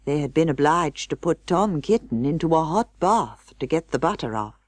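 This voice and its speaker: A British woman narrating a children's storybook in a measured, expressive way. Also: low-pitched, no echo. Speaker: audiobook narrator.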